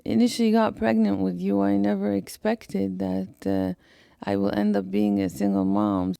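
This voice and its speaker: A middle-aged woman, recalling family life haltingly with many pauses and restarts. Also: mid-range voice, level tone, creaky, mellow, clear, resonant tone, no room sound, studio quality. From Egypt. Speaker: interviewee, mother, doctor. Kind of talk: casual family interview.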